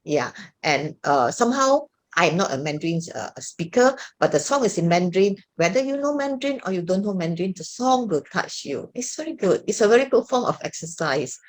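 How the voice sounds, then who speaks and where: A woman's voice: fairly low pitch, very animated, brisk, hardly any pauses. An older woman with a Malaysian English accent, a praise dance teacher chatting on a video call and hesitating with many fillers and restarts. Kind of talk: casual video call chat.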